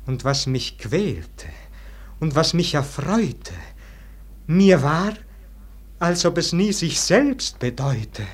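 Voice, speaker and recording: An actor playing a weary, disillusioned nobleman in a classic German radio verse drama, declaiming solemnly and theatrically, at times falling to hushed tones. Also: fairly high pitch, big pitch swings, takes it slowly, long pauses, breathy edge, full character voice. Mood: agitated regret. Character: weary, disillusioned nobleman brooding over an unlived life.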